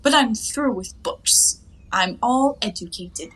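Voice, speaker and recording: Casting: high-pitched young woman, flippant and teasing, lofty airs, twenties stage-comedy delivery. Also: animated, takes it slowly, a bit bright, husky, heavily acted, rough recording. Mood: flippant, carefree. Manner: airy, teasing, mock-grand phrasing. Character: playful, cheeky, carefree young woman lounging in her bath. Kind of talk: theatrical 1920s stage comedy acting.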